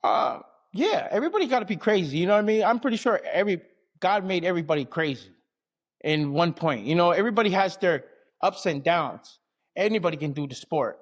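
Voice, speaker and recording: Higher-pitched male athlete, casual talk. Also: lively intonation, a little fast. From American Samoa and Southern California. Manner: colloquial, frequent "you know what I mean". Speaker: combat sports athlete being interviewed. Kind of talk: casual conversation.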